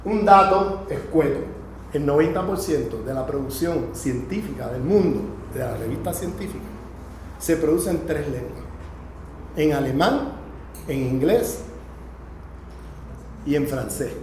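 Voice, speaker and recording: A man lectures to a class in a high-pitched voice. His delivery is emphatic and didactic, improvised, with frequent short check-in questions. The room is strongly echoey, with faint noise behind him. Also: expressive, measured pace, pauses often, a little mellow.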